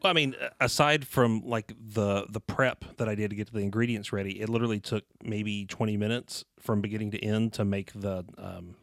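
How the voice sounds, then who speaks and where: A man's voice: on the low side, a little fast, few pauses, no room sound, studio quality. A man in his thirties with a Southern American accent, chatting casually as a podcast co-host and rambling with fillers. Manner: relaxed, rambling with fillers and laughs. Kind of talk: casual chat.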